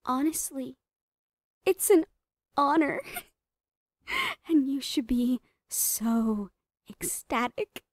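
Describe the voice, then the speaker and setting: A woman's voice: sits high, extremely slow, pauses often, dry recording, clean studio sound, heavily acted. A woman playing a psychotic female doctor who dotes obsessively on a captive, cooing and slipping into whispers in a horror roleplay. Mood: gleefully obsessed.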